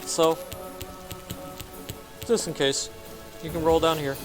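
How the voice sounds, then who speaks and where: A man's voice: on the high side, little pitch movement, takes it slowly. A man giving a casual game walkthrough, narrating his own actions offhandedly in short phrases. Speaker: gameplay commentator. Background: game music.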